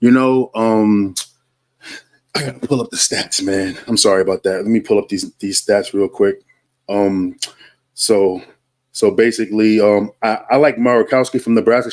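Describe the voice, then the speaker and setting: A man hosting a basketball podcast in a laid-back, slangy way, with lots of fillers. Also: fairly low pitch. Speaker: podcast host. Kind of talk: casual sports podcast chat.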